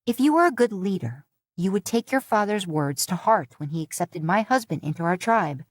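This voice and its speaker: A woman's voice: mid-range voice, animated, moderate pace, no room sound, studio quality, natural voice. A woman playing a fierce, proud young woman. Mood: angry. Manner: sharp, forceful.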